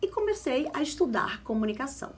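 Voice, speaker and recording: A woman in her forties from Caruaru with a Pernambuco Brazilian accent, telling her career story as an interview guest in an expressive, emphatic way. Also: high-pitched, brisk. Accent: Pernambuco Brazilian Portuguese. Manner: expressive, emphatic, lively storytelling with repeated phrases. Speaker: guest, communication specialist.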